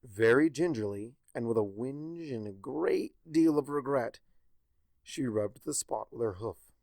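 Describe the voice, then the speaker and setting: A man reading a story aloud with a wry, expressive delivery. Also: mid-range voice, a little slow, creaky, dry recording, clean studio sound. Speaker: fanfiction narrator.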